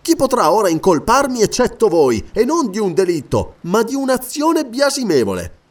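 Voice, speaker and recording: A man's voice: mid-range voice, big pitch swings, slow. A man voicing a sly, defiant convict quartermaster in an audiobook, insolent and emphatic in manner. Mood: mocking, defiant. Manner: insolent, challenging rhetorical questions, emphatic. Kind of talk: dramatic audiobook character reading.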